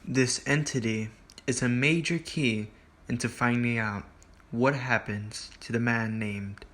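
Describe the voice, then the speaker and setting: A man narrates an explainer in a scripted, presenting style. His voice sits at an average pitch, and a noticeable hiss runs through the home voice-over recording. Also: steady tone, a little slow, a bit bright. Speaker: host of a horror series explainer video.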